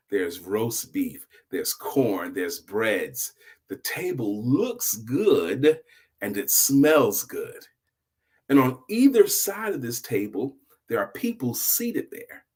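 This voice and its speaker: A middle-aged man telling a story as host, in a husky voice, emphatic and deliberate, with dramatic pauses and hushed drops. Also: mid-range voice.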